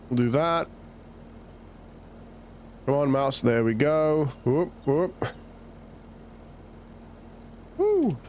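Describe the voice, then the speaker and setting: A man with a croaky, nasal voice from a cold, chatting casually as he plays a farming game and thinks aloud. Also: medium pitch, darkish tone, slight background noise. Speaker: gaming channel host. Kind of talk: casual gameplay commentary.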